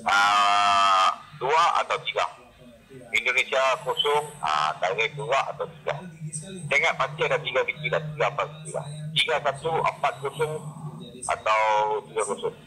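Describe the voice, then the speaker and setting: A man talking informally in halting, repetitive phrases on a rough recorded phone call. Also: mid-range voice, animated, pushed and bright.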